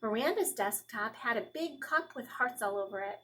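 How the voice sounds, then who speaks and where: A woman in her thirties reads a children's storybook aloud in a fairly high voice. She tells it expressively, as a teacher would, and puts feeling into the characters' lines. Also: moderate pace. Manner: animated, acting out the dialogue. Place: small classroom, indoors. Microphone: phone or tablet camera at about arm's length.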